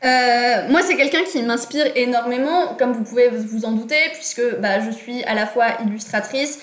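A woman's voice: on the high side, moderate pace, roomy sound. A young woman hosting a casual livestream lesson, chatty and full of fillers and self-corrections, talking at her desk in a small room. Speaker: livestream host. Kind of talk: casual livestream talk on design and politics.

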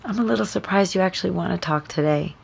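A woman playing a measured, persistent interviewer in a naturalistic audio drama, deliberate and probing. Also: fairly low pitch, creaky, slightly rough, heavily acted. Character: measured, persistent interviewer reading a case report.

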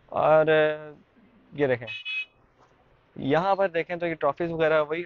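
A man's voice: a little high, no room sound, dull audio. A young man with a Pakistani Urdu accent, chattily guiding viewers through a sports market and shop. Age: young adult. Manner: chatty guide, frequent fillers and restarts. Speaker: vlogger. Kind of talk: walking market tour vlog.

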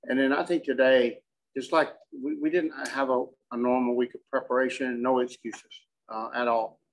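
A middle-aged man, a coach answering questions at a postgame press conference in a measured, halting way, often repeating words. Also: mid-range voice, fairly even intonation, low-quality recording. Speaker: softball coach. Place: indoor press conference room with curtain backdrop.

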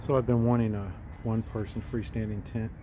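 Man, 40s, low flat delivery, casual gear review, phone mic outdoors with street noise. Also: fast. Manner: relaxed, plain-spoken, frequent "um"s. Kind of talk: casual product walkthrough. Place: outdoors in a backyard, inside and beside a tent. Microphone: phone camera, handheld and at arm's length.

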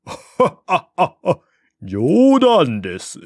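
A man voicing a brash, shabby ronin in a historical novel, loud and theatrical. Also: sits high, wildly swooping pitch, slow pace, no room sound, studio quality, voice pushed up, exaggerated voice. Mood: joking. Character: brash shabby short ronin, shouting threats.

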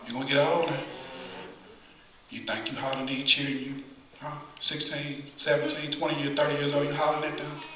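Middle-aged male preacher, bright-toned, folksy, repeats himself for emphasis, caught by a distant camera in a ringing church. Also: fairly high pitch, flat delivery, fairly quick, slight background noise. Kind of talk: conversational sermon with call and response. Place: church sanctuary at the pulpit. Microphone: far camera, pulpit mic. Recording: echoey church from far camera.

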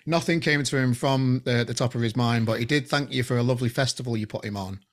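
A man in his forties hosting a podcast interview over a video call, chatty and halting, with many restarts, on a headset boom mic at home. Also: over a noisy crowd. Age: 40s. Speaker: podcast host. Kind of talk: casual interview chat. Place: home room indoors.

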